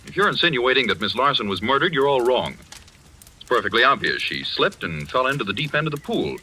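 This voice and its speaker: An actor playing an officious, defensive society host in an old radio mystery, speaking in a brisk, insistent way. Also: male voice, mid-range voice, big pitch swings, bright, forceful tone, low-quality recording. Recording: old radio recording, faint noise.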